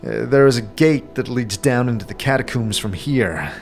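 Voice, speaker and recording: A man's voice: medium pitch, creaky, natural voice. Guy doing a tired hesitant adventurer dude, terse, understated, fantasy audio drama w music behind. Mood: frank, guarded. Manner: halting, understated.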